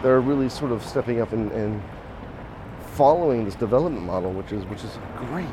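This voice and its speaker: A hoarse man hosting a podcast, talking casually while walking outdoors, rambling with restarts and small chuckles. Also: mid-range voice, fairly quick. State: hoarse, voice mostly shot. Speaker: podcast host. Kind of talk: casual walk-and-talk monologue. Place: outdoors on a city street. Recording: faint outdoor street noise. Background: street and traffic noise.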